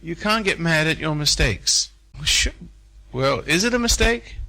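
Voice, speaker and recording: An older man holds forth to a seminar audience in a hotel function room. His voice is bright and forward, his manner folksy and sermon-like, driving points home by saying them twice. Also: fairly high pitch, animated, unhurried. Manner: folksy, preacherly, repeats phrases for emphasis. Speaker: seminar speaker. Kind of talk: live seminar talk with audience.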